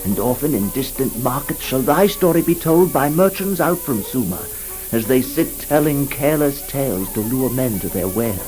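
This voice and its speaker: A man's voice: medium pitch, animated, moderate pace, over music, lightly acted, poor audio. An actor playing an ardent old king recounting a dream of lost love, lyrical and declamatory, in poetic radio drama style. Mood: impassioned, yearning.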